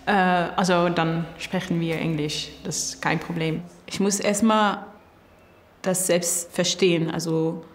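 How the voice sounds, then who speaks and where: Low-voiced woman, calm student. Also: a little slow. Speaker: music student, singer. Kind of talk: interview answers.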